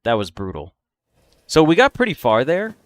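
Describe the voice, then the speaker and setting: A man's voice: mid-range voice, huge pitch swings, moderate pace, noisy background. A man giving let's play commentary, reacting and exclaiming as he gets hit in a boss fight. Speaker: gaming video host. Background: game music.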